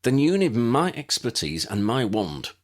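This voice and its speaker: A man's voice: medium pitch, very animated, measured pace, voice pushed up. A man voicing a proud, sardonic young male wizard in an audiobook, dry and clipped.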